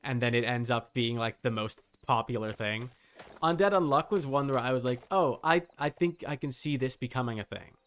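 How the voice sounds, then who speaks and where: A man's voice: mid-range voice, normal speed, fairly bright tone, smooth. A man hosting a game livestream, talking casually and informally in a rambling way, thinking aloud as he goes.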